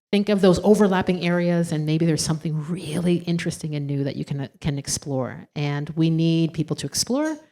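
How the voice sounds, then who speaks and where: A woman speaking on a panel with a handheld mic in a ballroom, animated and acting out quoted voices. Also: sits low. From Germany. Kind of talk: panel discussion talk. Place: hotel ballroom stage.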